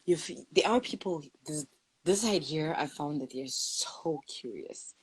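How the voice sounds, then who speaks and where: A young woman from Zimbabwe in casual conversation, telling her own experiences with lively storytelling and quoted voices. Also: deep voice, measured pace, slight background noise, rough recording. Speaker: guest sharing experiences.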